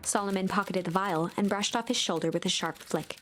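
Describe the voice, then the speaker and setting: A woman's voice: medium pitch, fairly quick, a little hiss or hum. A woman reading scripted, literary storybook narration for a video game. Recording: loud music behind the voice.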